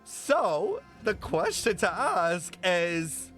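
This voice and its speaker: A young man with a bright, clear-toned voice that sits a bit above the usual male pitch. He chats casually while reacting to a game, spinning theories aloud and tossing in swear words. Faint game music plays behind him. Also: drawn-out words. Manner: thinking out loud, excited speculation, frequent swearing.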